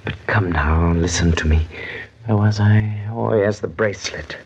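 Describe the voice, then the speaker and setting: Jealous aristocrat for vintage radio play: lowish register, grand, brooding, commanding delivery, dulled archival sound. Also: male voice, unhurried, a little mellow. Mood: bitter brooding. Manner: theatrical, imperious, musing. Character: bitter, jealous nobleman brooding over wine and betrayal. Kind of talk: old-time radio drama acting. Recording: muffled old radio recording, faint noise.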